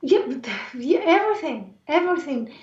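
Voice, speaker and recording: A woman from Argentina with an Argentine Spanish accent and a raspy voice, speaking earnestly and reflectively as an interview guest. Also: sits high, big pitch swings, normal speed, a little mellow. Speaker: interview guest, life and movement coach. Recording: roomy echo, distant mic, dull sound.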